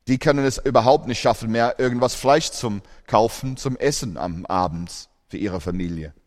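A man's voice: medium pitch, moderate pace, in a large hall. A man born in Australia preaching a sermon in German, earnest, with grammar slips.

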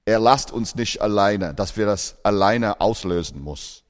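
A man's voice: lowish voice, animated, a little slow, big-room sound. A man born in Australia preaching a sermon in German, earnest, with grammar slips.

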